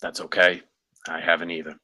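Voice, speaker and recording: A man playing a blunt, challenging company executive in a screenplay table read, with a rough voice and a brisk, commanding manner. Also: low-pitched, little pitch movement, pushed and bright, full character voice.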